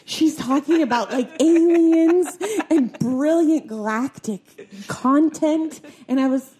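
A young woman with a squeaky voice, chatting on a podcast and telling stories with frequent giggles. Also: takes it slowly, mellow. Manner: giggly, chatty storyteller, laughs often. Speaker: podcast guest. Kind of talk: casual chat.

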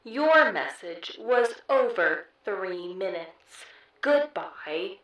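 A woman voicing an impersonal automated voicemail announcer, reading a scripted phone message in a measured way. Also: mid-range voice, full character voice. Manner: measured, flat recorded announcement. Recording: distant, echoey phone system message.

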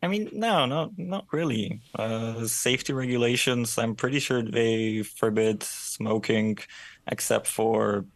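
A man who plays in the guest band, talking in relaxed, fluent casual English. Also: mid-range voice, a little slow, dry recording.

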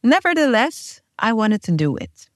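A woman's voice: on the high side, very animated, moderate pace, no echo. Woman, earnest and emphatic podcast host, dry close sound. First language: Dutch. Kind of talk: scripted podcast intro and casual chat.

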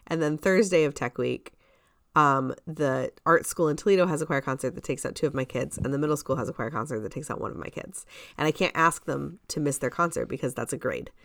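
Woman podcast cohost just chatting, lowish voice, dry sound no room echo. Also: a little fast, vocal fry. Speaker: podcast co-host; community theater director. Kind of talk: casual conversational podcast chat.

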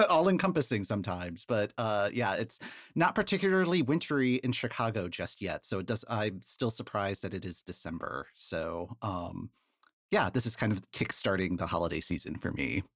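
A low-voiced man from the United States talks casually as a podcast guest. He hesitates often and laughs easily, and the sound is dry and close. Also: animated, normal speed, fairly bright tone, no echo.

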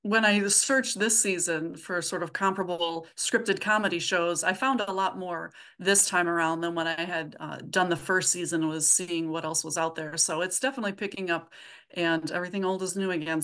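A woman with a slightly low voice chats as an interview guest. Her delivery is informal and halting, as she works out each thought while speaking. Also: fairly even intonation, fairly bright tone. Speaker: podcast guest, comedy audio drama writer. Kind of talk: casual interview chat.

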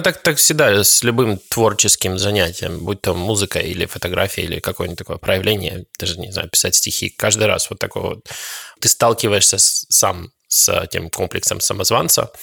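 Male co-host, raspy gravelly low voice, dry sound, playful energy. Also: fairly quick, sharp tone, no room sound. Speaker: podcast co-host. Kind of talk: casual podcast conversation.

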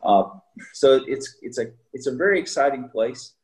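A middle-aged man, a seminary teacher, talking casually in an interview with frequent pauses and fillers. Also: medium pitch, expressive, darkish tone, low-quality recording. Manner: halting, many fillers and restarts.

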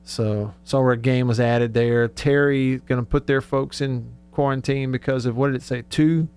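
A man's voice: medium pitch, steady tone, normal speed, a little mellow, clean tone. A man hosting a casual sports radio show, conversational and thinking aloud as he goes.

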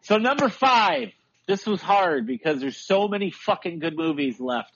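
A man with a high voice, a guest on a podcast, chatting casually and swearing with enthusiasm. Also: very animated, moderate pace, a bit bright, husky, highs cut off.

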